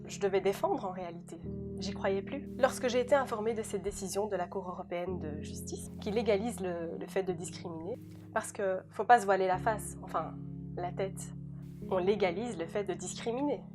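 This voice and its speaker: Young woman, native Dutch speaker from Belgium, scripted testimony, direct and sincere, music clearly underneath. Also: mid-range voice, moderate pace. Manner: earnest, direct, addresses the listener as a friend. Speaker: law graduate giving a testimony.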